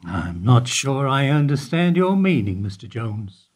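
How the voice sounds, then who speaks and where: An actor playing a weary bank security guard who gives dry, evasive answers in a radio play. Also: male voice, mid-range voice, sing-song, measured pace, slightly rough. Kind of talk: amateur radio play acting.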